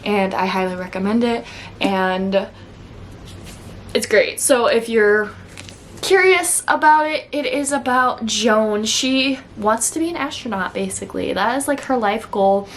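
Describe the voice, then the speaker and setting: A woman's voice: a little high, a little slow, slight background noise. A woman talking in an informal, conversational way, like a book vlogger chatting casually to viewers. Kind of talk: casual chat to camera, book review.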